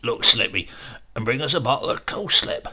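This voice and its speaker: A man voicing a sly, feisty, dying old countryman in a story, with a rural English accent and a weary, breathy croak. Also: mid-range voice, expressive, normal speed, bright, forceful tone, heavily acted. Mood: gruff, scornful. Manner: rustic, grumbling, conspiratorial.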